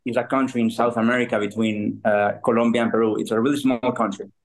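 A man in his thirties from Ecuador, a guest on a podcast who speaks with frequent pauses and restarts. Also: fairly low pitch, little pitch movement. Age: 30s. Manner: halting, with fillers and restarts. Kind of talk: casual interview.

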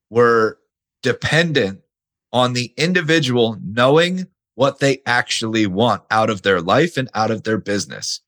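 A man, hoarse and nearly losing his voice, hosting a casual solo podcast and stressing his points with the odd swear. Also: mid-range voice, fairly bright tone. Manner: casual, emphatic, swears now and then. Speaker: podcast host.

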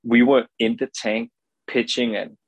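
A man's voice: medium pitch, flat delivery, a little slow, no echo. A young man from Denmark with a Danish accent, earnestly explaining his company in an interview and often tagging his points with "right?". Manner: earnest, many restarts and "right?" tags. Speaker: founder of a swim goggle company. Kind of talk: podcast interview.

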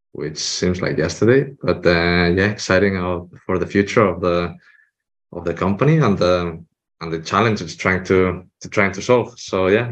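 Low spanish guy on a podcast, kinda hesitant, muffled sound. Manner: hesitant, restarts phrases. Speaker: VP of operations, guest. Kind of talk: podcast interview.

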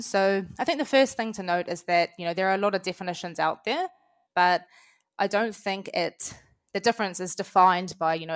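A woman in her late twenties with a New Zealand accent talks as an interview guest. She is friendly and chatty and drops plenty of fillers. Her voice sits a bit low, and the sound is close and dry. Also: no room sound. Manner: chatty, friendly, with many "you know" fillers. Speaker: guest, head of customer success. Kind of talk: casual interview answers.

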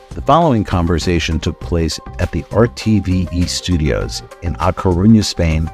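A man's voice: low voice, a little mellow. A man hosting a documentary series, reading scripted narration as an introduction.

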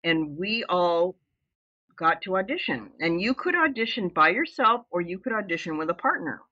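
An older woman in her seventies, from Southern California, tells her story with a loud and exuberant delivery. Her voice sits a little low but rings bright and forceful. The audio sounds somewhat dull and lo-fi. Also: sing-song, moderate pace, no echo. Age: 70s. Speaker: podcast guest. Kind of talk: telling a personal story in an interview.

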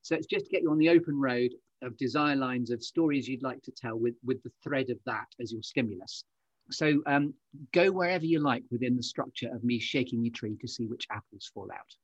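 A man's voice: medium pitch, a little fast, no echo, dull audio. A British man hosting an interview podcast, conversational and effusive, with playful, elaborate phrasing. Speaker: podcast host.

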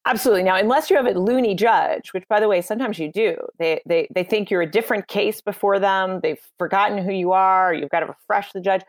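A woman's voice: medium pitch, fast talker, few pauses, no room sound. A woman giving confident, emphatic advice on communication in a podcast interview. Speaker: communication coach for lawyers.

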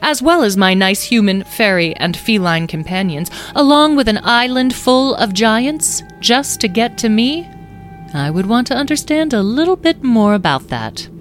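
A woman voicing a tiny, formal fairy who speaks in precise, elaborate phrases, with soft music behind. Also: fairly high pitch, lively intonation, moderate pace, pitched above the performer's own voice, natural voice. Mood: commanding.

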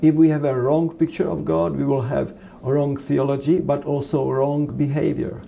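A man with a Czech accent answering interview questions on theology in a halting, earnest, preacherly manner. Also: on the high side, level tone, creaky, warm tone, slight background noise. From Czech Republic. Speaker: seminary dean, interview guest.

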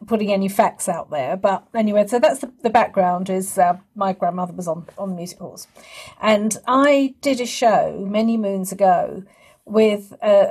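A woman's voice: mid-range voice, darkish tone, breathy edge. A woman hosting a casual interview, chatting and telling stories as a fellow stage performer. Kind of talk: casual chat and storytelling.

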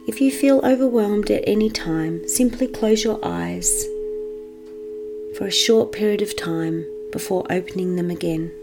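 A woman leading a guided meditation for children in a gentle, measured manner with long pauses. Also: medium pitch, noisy background.

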